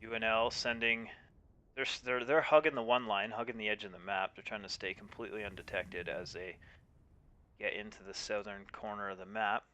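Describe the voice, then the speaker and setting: A man commentating live on an esports tank match as streamer, with a halting delivery that repeats words. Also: fairly low pitch, fairly even intonation, pushed and bright.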